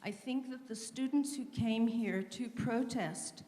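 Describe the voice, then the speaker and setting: A woman's voice: on the high side, unhurried, warm tone. Middle-aged woman in echoey hall. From Israel. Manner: emphatic, personal testimony. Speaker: audience member asking a question. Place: lecture hall audience. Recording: roomy echo, long hall reverb.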